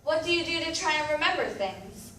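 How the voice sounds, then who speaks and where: A woman acts a blunt, probing female questioner. Her voice is high and theatrical, far from the mic in a very echoey room with faint noise. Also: lively intonation, brisk, fairly bright tone. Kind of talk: readers theatre stage acting.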